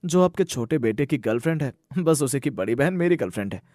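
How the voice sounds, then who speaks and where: A man's voice: mid-range voice, lively intonation, quick pace, darkish tone, no room sound, clean studio sound, close to an everyday voice. A man voicing an earnest young working son in a cartoon moral story, direct and familiar with his family. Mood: cheerful, frank. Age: young adult. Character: earnest young working sons, protective of their mother. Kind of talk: scripted cartoon story dialogue.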